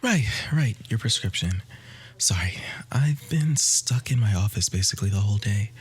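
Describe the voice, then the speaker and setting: A man playing an outwardly kind, quietly manipulative doctor in an ASMR roleplay, in a breathy voice that often drops to a whisper. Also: on the low side, lively intonation, moderate pace. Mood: teasing, prying. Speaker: audio roleplay voice actor. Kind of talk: ASMR roleplay, soft intimate acting.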